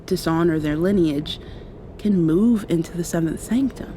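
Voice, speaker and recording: A woman playing a gentle, affectionate young vampire in an intimate ASMR roleplay, speaking softly with thoughtful pauses. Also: fairly low pitch, a little hiss or hum, natural voice. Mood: confiding, wry. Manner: soft, tender, unhurried, thoughtful pauses. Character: gentle, affectionate young female vampire confiding in her girlfriend.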